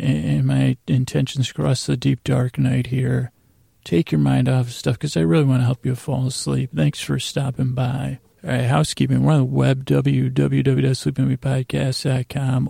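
A man with a heavily creaky, gravelly voice rambles through a bedtime podcast. His delivery is flat and droning, wandering off and circling back with halting repeats. The tone is dark and mellow. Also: mid-range voice, no echo, studio quality. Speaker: podcast host.

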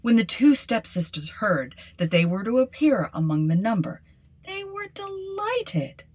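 A woman's voice: a little high, big pitch swings, measured pace, a little mellow. A woman podcast host reading a fairy tale aloud as dramatic scripted narration.

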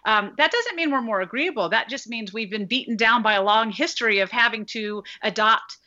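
A woman's voice: on the high side, brisk, bright, forceful tone, dull audio. A woman professor talking as an expert guest, explaining her points with an articulate, analytical manner and frequent "I think" hedges. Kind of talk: explanatory conversation.

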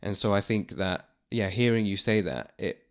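A man hosting an interview, calm and reflective, giving short affirmations and sharing family stories. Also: fairly low pitch, moderate pace, creaky. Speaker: podcast host.

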